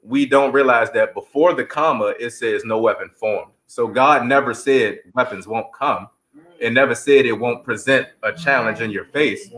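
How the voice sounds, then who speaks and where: A man's voice: medium pitch, normal speed, a bit bright, low-quality recording. Earnest, preacherly man. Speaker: stage actor, interview guest. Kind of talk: heartfelt interview answer with scripture quotes.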